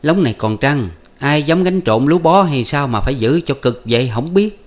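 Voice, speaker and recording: A man voicing a sly, foul-mouthed peasant wife who scolds and curses in a high, loud voice. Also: expressive, normal speed, lightly acted. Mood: coaxing. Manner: shrill scolding and cursing. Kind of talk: storybook reading.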